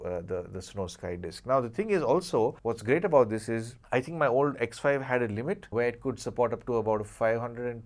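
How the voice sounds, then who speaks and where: A man's voice: medium pitch, a little mellow. A man giving a casual, unscripted product review, hesitating and rephrasing as he goes and repeating points for emphasis. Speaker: tech reviewer, channel host.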